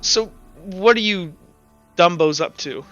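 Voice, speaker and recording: A man voicing a brash, blunt young woman adventurer who teases and mocks in halting speech during a tabletop game. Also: high voice, a bit bright, lo-fi sound, soft background music. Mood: mocking. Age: teenager.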